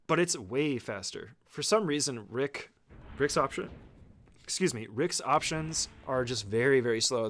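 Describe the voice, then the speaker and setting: A man's voice: medium pitch, fairly bright tone, slight background noise. A man doing casual, conversational speedrun tutorial commentary, explaining each step in an informal way.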